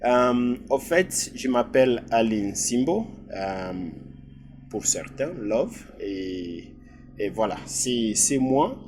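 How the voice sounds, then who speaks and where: Man from DR Congo, middle-aged guest, halting and thoughtful. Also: mid-range voice, very animated, darkish tone, a little hiss or hum. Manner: hesitant, many filler sounds. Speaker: guest physician. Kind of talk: interview answers.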